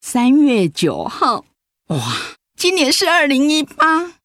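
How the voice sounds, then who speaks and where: A woman's voice: high voice, big pitch swings, slow, a bit bright, no echo, studio quality, heavily acted. A woman playing an affectionate, emotional wife and grandmother with a Taiwanese Mandarin accent in a radio drama. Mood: surprised, reflective. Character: retired grandmother, affectionate, emotional breast cancer survivor.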